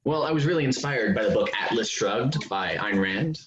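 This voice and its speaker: Actor as a lively, smug rich young man. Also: mid-range voice, moderate pace, muffled recording, slight background noise, lightly acted. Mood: self-important. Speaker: actor in a staged play reading. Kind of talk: virtual staged reading of a comedy play.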